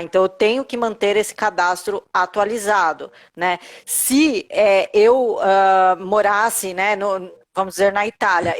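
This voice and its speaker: A Brazilian woman, a lawyer, explaining things step by step on a live video call, with lots of fillers and tag questions. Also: mid-range voice. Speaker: lawyer guest on Italian citizenship.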